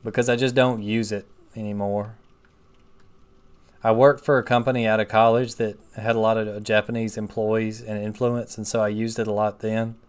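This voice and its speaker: A man's voice: on the low side, flat delivery, moderate pace, smooth. Chatty man streaming a game. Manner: chatty, rambling asides while playing. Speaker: gaming streamer. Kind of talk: casual let's play chat.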